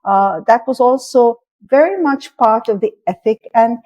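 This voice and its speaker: A woman's voice: on the high side, measured pace, darkish tone, muffled recording. A woman in her sixties from India, speaking as an interview guest in a reflective, thoughtful way with frequent fillers. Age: 60s. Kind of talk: interview conversation.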